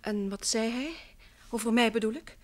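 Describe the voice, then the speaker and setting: A woman's voice: fairly high pitch, moderate pace, natural voice. An actress playing a distraught, earnest wife in a vintage radio play, emphatic and sometimes hesitant as she insists. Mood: anxious, insistent. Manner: earnest, emphatic, hesitant and repeating herself when upset. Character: distraught, insistent wife convinced her missing husband lives.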